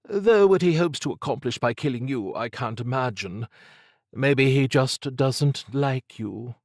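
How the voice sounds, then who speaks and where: A man voicing an urbane, condescending, androgynous occult agent for an audiobook, in a languid purr with drawn-out phrasing. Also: mid-range voice, lively intonation, no room sound, clean studio sound, pitched above the performer's own voice. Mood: haughty, musing. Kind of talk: audiobook character acting.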